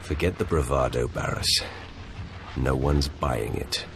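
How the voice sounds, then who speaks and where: Very deep, imposing dark warrior. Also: male voice, a little slow, noisy background. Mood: defiant. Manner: commanding, cold, defiant. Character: confident, imposing young Sith warrior, the emperor's enforcer. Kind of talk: video game voice acting. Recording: music under the voice.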